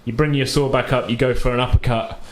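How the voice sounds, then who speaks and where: A man's voice: mid-range voice, steady tone, fast talker. A man acting as game master, narrating a tabletop game casually in loose, improvised phrases. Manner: improvised, hedging narration with "kind of" and "like". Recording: echoey room with faint background noise.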